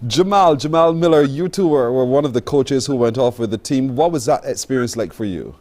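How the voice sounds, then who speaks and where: A man's voice: medium pitch, expressive, a little fast, a little mellow. A man hosting a live morning broadcast interview. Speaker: morning TV show host.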